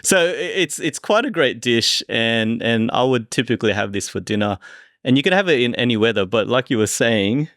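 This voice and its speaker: Australian man with a gravelly edge, chatting casually. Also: mid-range voice, expressive, brisk, fairly bright tone, no echo, clean studio sound. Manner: explanatory, conversational. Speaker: podcast co-host. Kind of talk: casual food and wine chat.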